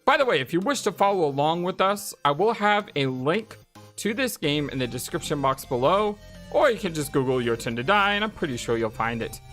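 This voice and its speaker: Very animated let's play host. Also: mid-range voice, fairly quick, faint music behind. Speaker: host of a gaming channel. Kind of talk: blind let's play commentary, casual chat and reading dialogue aloud.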